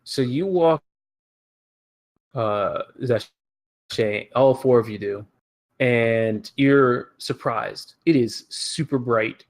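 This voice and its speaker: A man narrating as game master of a tabletop game, relaxed and halting, with frequent fillers. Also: medium pitch. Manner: relaxed, hesitant with many fillers. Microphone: headset or desk mic on a voice call.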